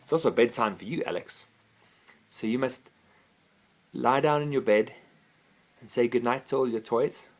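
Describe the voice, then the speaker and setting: A man's voice: medium pitch, normal speed, long pauses. A man reading a children's bedtime story expressively, as a father to his child.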